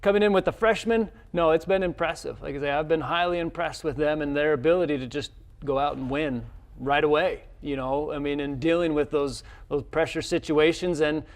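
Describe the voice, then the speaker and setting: Male college coach, slightly high voice, casual interview, halting and repetitive delivery. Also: fairly even intonation. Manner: halting, repeats words and restarts often.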